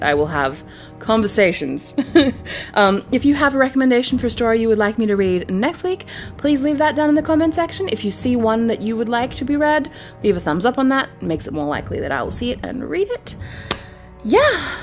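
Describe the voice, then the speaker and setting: A woman with a high, very animated voice talks informally to her online audience. She is the playful host of a storytelling show, keeping it casual. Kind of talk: casual chat to viewers.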